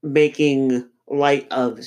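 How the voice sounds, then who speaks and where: Male podcast co-host with a cold, slightly nasal; reflective, halting, full of ums and restarts. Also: medium pitch, level tone, darkish tone. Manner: halting, many fillers and restarts; reflective, thinking aloud. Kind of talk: casual podcast discussion.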